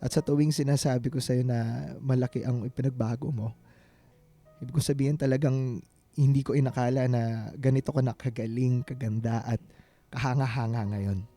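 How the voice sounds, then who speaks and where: A man plays a devoted suitor with a Filipino accent in a radio drama. His middle-pitched voice is very dark and mellow, tender, sincere and halting. Faint music runs beneath. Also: fairly even intonation, natural voice. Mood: earnest, tender. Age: adult. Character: earnest, devoted adult male suitor.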